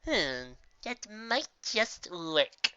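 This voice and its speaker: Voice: mid-range voice, sing-song, drawn-out words, sharp tone, smooth, no room sound, dull audio, pitched above the performer's own voice, exaggerated voice. Narrator as an imperious, theatrical show mare. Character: showy, self-assured female pony stage performer.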